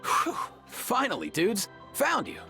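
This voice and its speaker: A man voicing a laid-back, slangy young dude in an anime game, with a husky voice and casual manner. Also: very high voice, huge pitch swings, slow pace, over music, full character voice. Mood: relieved.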